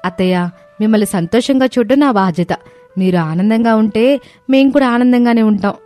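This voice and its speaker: A woman's voice: on the high side, normal speed, dark tone, faint music behind, lightly acted. A woman voicing a young, practical daughter-in-law in a cartoon story, with an emphatic manner. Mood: reassuring.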